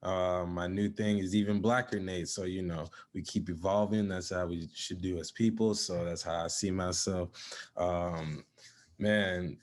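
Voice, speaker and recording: A man's voice: low-pitched, fairly even intonation, a little slow. A young man born in Zimbabwe and raised in South Dakota, chatting casually as a podcast guest in laid-back slang. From born in Zimbabwe, raised in South Dakota from age four. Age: young adult. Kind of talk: casual chat.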